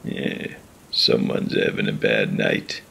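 A man playing a short, menacing back-alley thug in audio fiction, growling in a slow, mocking drawl. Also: extremely low pitch, steady tone, fairly bright tone, slight background noise, heavily acted. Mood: mocking menace. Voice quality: gravelly growl. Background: rain.

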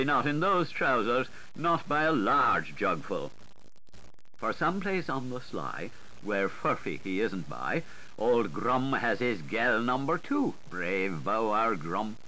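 A man's voice: lowish voice, pushed and bright. A man narrating a literary audiobook in a playful, dramatic, sing-song manner with shifting inflection. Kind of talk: theatrical literary audiobook reading. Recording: noisy 1980s audiobook recording.

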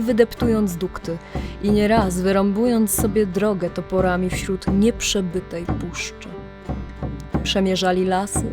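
A woman narrating a scripted audio drama legend in a measured, literary storytelling manner, with deliberate pauses. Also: medium pitch. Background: music.

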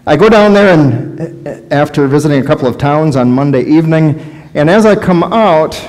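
A middle-aged man with an American Midwestern accent, preaching a story-led sermon in deliberate, halting phrases. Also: fairly high pitch, lively intonation, darkish tone. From Wisconsin, United States. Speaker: Lutheran pastor. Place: large church sanctuary, indoors, before a congregation. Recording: reverberant church hall.

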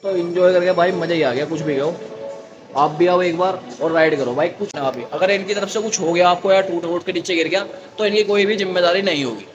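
Excited young vlogger man, high voice. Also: brisk, music in the background. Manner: excited, chatty, keeps saying bhai. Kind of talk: casual vlog commentary. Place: outdoor mall walkway at night. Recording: dull noisy outdoor audio.

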